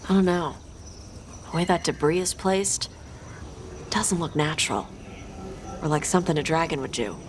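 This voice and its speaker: A woman's voice: deep voice, moderate pace, long pauses, a little hiss or hum, lightly acted. A woman playing a tough, wry young dragon hunter in a video game, speaking in clipped, blunt phrases. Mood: wary, wry. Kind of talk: video game character acting. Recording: background music under the voice.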